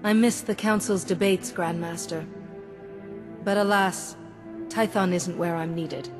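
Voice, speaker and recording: Courteous Jedi heroine for a game, played by a woman: slightly low, measured, cautious, with clear music underneath. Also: fairly even intonation, natural voice. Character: composed female Jedi diplomat, cautious, courteous. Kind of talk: video game voice acting. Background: cinematic game music.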